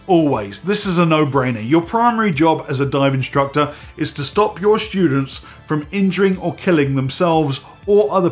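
Stuffy-sounding man hosting a vlog. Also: on the high side. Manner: chatty and animated, talking with his hands. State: stuffy, slightly hoarse from a head cold. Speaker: host. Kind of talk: casual direct-to-camera vlog. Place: home studio room with dive gear on shelves.

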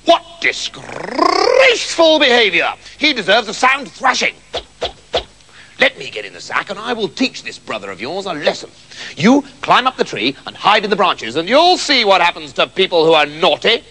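A man's voice: high voice, big pitch swings, brisk, pushed and bright, slight background noise, exaggerated voice, low-quality recording. A man voicing a pompous, cane-happy male schoolteacher in a children's story, exclaiming with sing-song relish. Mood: indignant.

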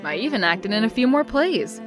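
Woman doing a wry, wistful young narrator with a lively, animated pitch. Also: fairly quick, over music. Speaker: narrator of her own past. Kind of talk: comic dub narration.

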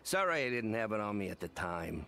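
A man's voice: lowish voice, big pitch swings, a little fast, gravelly, sharp tone, heavily acted. A man playing a hearty, friendly older village man in a video game, speaking in a folksy way. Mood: amiable, matter-of-fact. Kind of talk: video game character acting.

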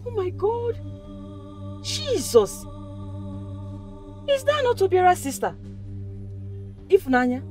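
A woman's voice: extremely high pitch, sing-song, slow, long pauses, darkish tone, faint music behind, heavily acted. A woman playing an alarmed village woman. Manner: exclaiming, pleading.

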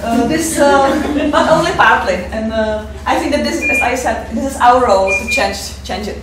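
A woman's voice: a little high, noisy background. Czech lady giving a talk, kinda far from the mic, lots of uhs, roomy echo. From Czech Republic. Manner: hesitant, many fillers, halting non-native English. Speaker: fundraiser giving a conference talk. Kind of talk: presentation talk. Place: seminar room with projector. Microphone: far camera mic. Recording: echoey room, picked up from afar.

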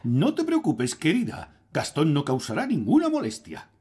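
A man's voice: on the high side, moderate pace, husky, full character voice. A man voicing a blustering, self-assured middle-aged fairy king in a children's cartoon. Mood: breezy, reassuring. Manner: pompous, expressive. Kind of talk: animated children's cartoon dub.

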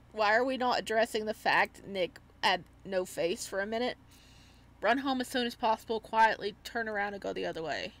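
A woman playing a visual novel, reading its text aloud and reacting expressively as she goes. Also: mid-range voice. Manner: expressive, animated reactions between read lines. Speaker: streamer, let's-player.

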